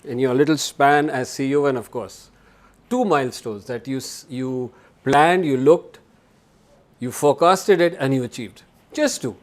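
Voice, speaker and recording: A man's voice: medium pitch, moderate pace. A man hosting a podcast interview, building up to each question by listing points to his guest. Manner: prompting, lists points before asking.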